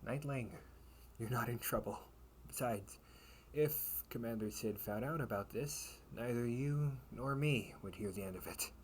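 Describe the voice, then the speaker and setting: A man's voice: medium pitch, unhurried, natural voice. A man playing a kindly, teasing knight captain in a comfort audio roleplay, speaking in courtly, old-fashioned phrases. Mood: gently concerned. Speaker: amateur audio roleplay performer. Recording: clearly noisy home recording with ambience.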